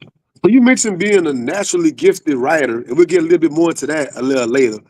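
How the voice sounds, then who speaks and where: A man's voice: on the high side, animated, quick pace, a little mellow, no room sound. A man hosting a podcast in a casual, slangy interview style with familiar, hype-man phrasing. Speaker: podcast host.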